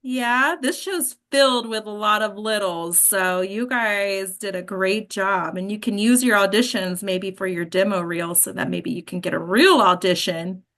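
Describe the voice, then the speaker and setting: A woman's voice: mid-range voice, measured pace, few pauses, fairly bright tone. A woman hosting and coaching actors in an informal talk, frank and addressing her listeners directly. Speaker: host and acting coach.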